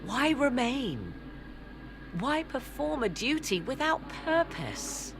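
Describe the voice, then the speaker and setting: A woman playing a haughty, cunning sorceress in a fantasy game, with theatrical, archly formal and deliberate phrasing. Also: high voice, very animated, background noise, exaggerated voice. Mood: challenging. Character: haughty, cunning sorceress, hungry for forbidden power. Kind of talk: dramatic fantasy game acting. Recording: game music behind the voice.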